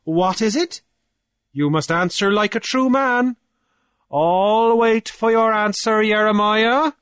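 A man's voice: sits high, slow pace, a bit bright, clear, resonant tone, no echo, studio quality, pitched above the performer's own voice. A man voicing a smug, triumphant chancellor in a story, arguing before an island council in an emphatic, challenging, oratorical manner. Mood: pressing.

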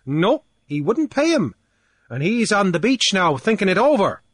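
A man's voice: high voice, very animated, normal speed, no room sound, clean studio sound, voice pushed up. A man voicing a pompous, drunken male beachcomber official who blusters in a lilt. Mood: blustering. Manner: bombastic, wordy, theatrical.

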